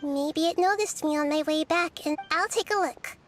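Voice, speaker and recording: Very high-voiced gentle child goddess, played by a woman. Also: moderate pace, faint music behind, natural voice. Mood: curious, thoughtful. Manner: thoughtful, precise, gently explanatory. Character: small childlike goddess of wisdom, gentle, calm, inquisitive. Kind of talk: video game character acting.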